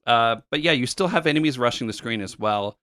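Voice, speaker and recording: A man co-hosting a podcast, casually walking through retro game levels with a relaxed, explanatory manner and plenty of fillers. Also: mid-range voice, fairly quick, dry recording, clean studio sound. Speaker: podcast co-host. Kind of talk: casual chat about retro games.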